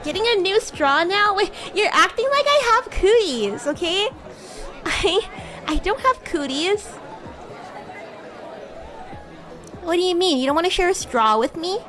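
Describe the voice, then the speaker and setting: A woman acts out a bratty young demon girl in a livestream roleplay. Her voice is high and swings animatedly up and down. She is coy and teasing, quick to get defensive, and chats casually over background music. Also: lively intonation, sharp tone, heavily acted. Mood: mock offended, teasing. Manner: teasing, coy, defensive, stammering when flustered. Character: bratty, cute, flustered young female demon classmate. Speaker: VTuber streamer. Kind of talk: improvised VTuber roleplay, casual chat with viewers.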